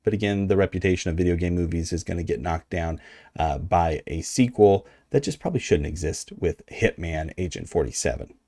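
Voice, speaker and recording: A man's voice: deep voice, brisk, warm tone, dry recording, studio quality. An American man hosting a video essay, talking in a casual, conversational and slightly wry way. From United States. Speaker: video host.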